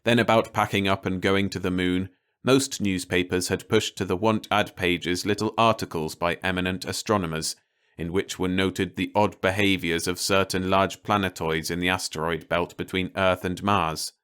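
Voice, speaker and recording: A man reading a story aloud as an audiobook narrator, in a measured, wry storytelling manner. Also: deep voice, fairly even intonation, a bit bright, clean tone. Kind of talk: scripted story narration, read-along audiobook.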